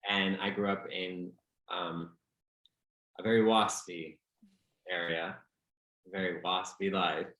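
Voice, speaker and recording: Man from Canada, deep and crisp, dry humor, echoey room. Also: fairly even intonation, slow, long pauses, fairly bright tone, lo-fi sound. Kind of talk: casual interview chat.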